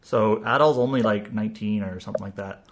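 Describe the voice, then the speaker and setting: A man co-hosting a gaming podcast, chatting casually with quick asides. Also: fairly low pitch. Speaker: podcast co-host.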